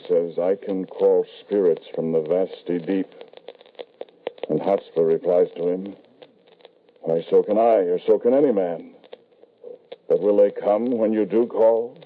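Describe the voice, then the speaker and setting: Deep, brooding radio-drama narrator. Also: male voice. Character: brooding man confiding his dealings with spirits. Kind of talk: 1940s radio drama first-person narration. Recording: noisy, narrow vintage radio recording.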